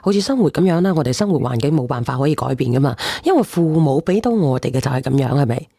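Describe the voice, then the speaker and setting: Low dark voiced lady hosting a live call-in chat, very dry close sound, keeps asking listeners stuff. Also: lively intonation, fast, creaky, breathy edge, no room sound. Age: 40s. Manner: addresses listeners with questions. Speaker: call-in show host. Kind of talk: casual livestream advice chat.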